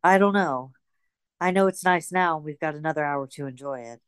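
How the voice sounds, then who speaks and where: A woman's voice: low-pitched, brisk, clean tone, no room sound, studio quality, lightly acted. A woman playing a bold, pragmatic young woman and secretive lover in a radio drama, speaking in endearments. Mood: content. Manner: endearing, frequently says darling.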